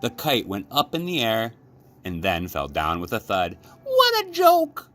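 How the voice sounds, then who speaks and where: A man reading a children's storybook aloud as a librarian, with expressive, measured narration. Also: low voice. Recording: clearly noisy background.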